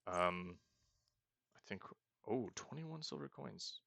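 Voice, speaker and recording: A man's voice: lowish voice, expressive, slow, creaky, pushed and bright, no room sound. A young man casually commenting on a roleplaying game as he plays, thinking aloud and joking at his own mistakes. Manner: thinking aloud, chatty and self-mocking. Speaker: let's-play host.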